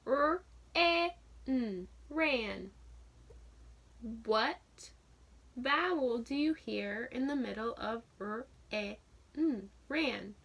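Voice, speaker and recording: High, slow-paced woman teacher reading aloud. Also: animated, a bit bright. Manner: slow, clear, sounds out letters and asks listeners questions. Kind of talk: children's read-aloud phonics lesson.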